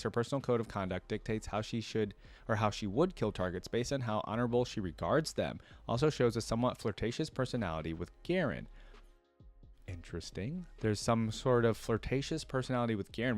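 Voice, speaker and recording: A man's voice: mid-range voice, expressive, fast talker. A man hosting a reaction video, reading aloud and breaking into informal, rambling casual commentary. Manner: informal, rambling asides between read passages.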